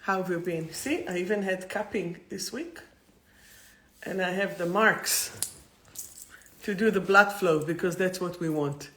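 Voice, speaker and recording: A woman's voice: on the low side, measured pace, pauses often, fairly bright tone. A woman with an Israeli accent hosting a chatty livestream spiritual talk in an effusive, playful, rambling manner. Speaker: host of a livestream soul talk.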